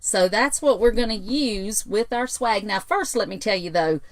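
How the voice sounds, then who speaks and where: A woman co-hosting a casual livestream craft demo, walking viewers through steps in a relaxed, agreeable way. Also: mid-range voice, normal speed, no echo. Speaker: co-host, home decor designer, mother.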